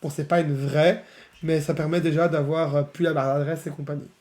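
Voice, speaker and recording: Man with a cold, nasal and a bit hoarse, chatty live demo, slightly high voice. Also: flat delivery, brisk, a little mellow. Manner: chatty, many fillers, laughs often. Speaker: software presenter. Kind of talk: casual live-stream demo.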